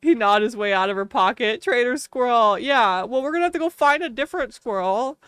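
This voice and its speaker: A woman's voice: a little high, animated, a little fast, fairly bright tone, clean tone, no echo, clean studio sound. A woman from Wisconsin with a Wisconsin accent, chatting casually while streaming a game, laughing often and saying "like" a lot. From Wisconsin, USA. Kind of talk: casual livestream chat.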